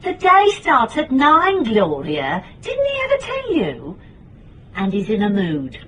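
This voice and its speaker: An actress playing a middle-aged British private detective, blunt and dryly wry, in radio drama dialogue and noir narration. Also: female voice, fairly high pitch, huge pitch swings, slow, a bit bright, slight background noise, exaggerated voice. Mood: dry, scolding.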